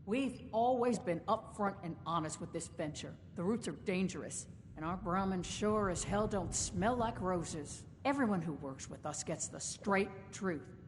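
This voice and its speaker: A shrewd female caravan boss from a video game, proud and sardonic. She talks in genteel, folksy turns of phrase. The sound has long reverb over a faint noisy rumble. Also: expressive, measured pace. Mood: defiant. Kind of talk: video game character dialogue.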